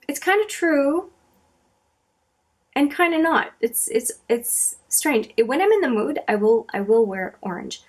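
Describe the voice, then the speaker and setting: A middle-aged woman from Canada, whose first language is French, chatting casually to camera as she answers viewer questions, with many fillers and restarts. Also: a little high. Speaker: makeup channel host.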